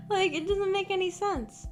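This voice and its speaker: A young woman streaming, chatting casually and rambling with lots of fillers, her voice a bit rough from just waking up. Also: very high voice.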